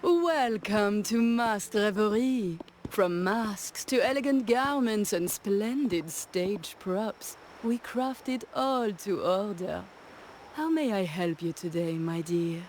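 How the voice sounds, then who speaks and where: An actress playing an elegant, teasing mask-shop madame in a video game, with a coy, theatrical manner. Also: female voice, mid-range voice, animated, darkish tone, a little hiss or hum. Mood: gracious welcome. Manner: coy, drawn-out, playful. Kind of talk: video game character acting.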